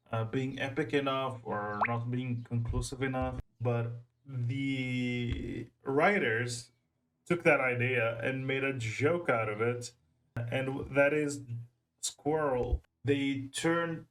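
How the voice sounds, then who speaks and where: A man with a mid-pitched voice gives casual commentary on a game. He is excitable and often circles back over his phrases. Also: rough recording. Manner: excitable, repeats himself mid-thought. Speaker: reaction video host.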